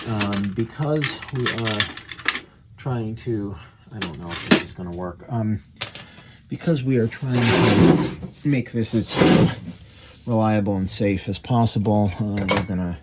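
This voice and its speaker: A man with a very low voice presents a casual, hands-on repair walkthrough. He speaks haltingly, thinking aloud as he works. Steady background noise and small clicks sit behind his words. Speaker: radio repair hobbyist and presenter.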